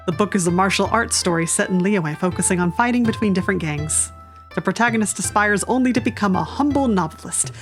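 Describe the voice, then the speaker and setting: A woman gaming host with a medium-pitched voice, informal and given to giggling. She comments on the game and reads from it, with faint game music behind her. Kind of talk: casual game playthrough commentary and reading dialogue aloud.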